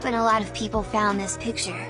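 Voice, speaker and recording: A woman's voice: medium pitch, level tone, fairly quick. A woman reading simple scripted narration as a video narrator. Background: music.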